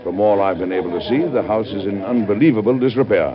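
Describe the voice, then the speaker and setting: Actor as a low-voiced, earnest new president. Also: male voice, quick pace, a crowd in the background, close to an everyday voice. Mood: earnest, conversational. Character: newly inaugurated American president, earnest, courteous widower. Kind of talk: 1950s radio drama acting. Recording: muffled vintage radio recording.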